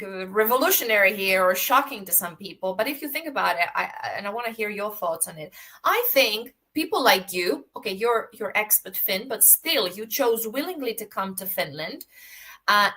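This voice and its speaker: A woman's voice: mid-range voice, bright, forceful tone. A Greek-born woman hosting a video podcast on a call, talking passionately in long run-on stretches. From Greece. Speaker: podcast host. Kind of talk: casual interview chat.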